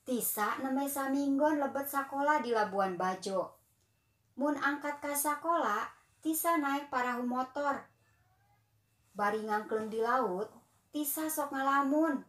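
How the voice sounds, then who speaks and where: A young woman with a Sundanese accent reads a children's tale in a high, gentle voice. She takes her time over each phrase, and the room around her rings with echo. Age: young adult. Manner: gentle, unhurried reading to children. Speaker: storyteller. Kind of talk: children's storytelling narration.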